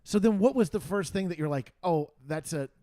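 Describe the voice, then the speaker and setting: Playful man, lively high voice, dry sound. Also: no room sound. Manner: joking, playful, quick questions. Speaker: podcast co-host. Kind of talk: casual podcast chat.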